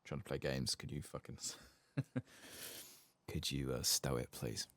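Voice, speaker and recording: A man giving casual, rambling, wry and sweary soft-spoken ASMR commentary on a game, close to the mic. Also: extremely low pitch, steady tone, unhurried, no echo. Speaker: host of a game playthrough channel.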